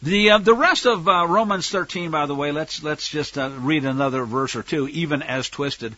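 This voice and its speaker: An American man with a husky voice preaching a Bible lesson in an emphatic, sarcastic way, full of rhetorical questions. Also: on the high side, normal speed, bright, forceful tone, no echo, muffled recording. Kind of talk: sermon, Bible teaching with political commentary.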